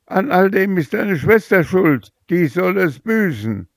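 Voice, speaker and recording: Male narrator voicing a fairy-tale mother: high, shrill, greedy, scolding, gravelly underneath. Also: slow, dry recording, studio quality. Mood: shrill angry. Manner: shrieking, excitable.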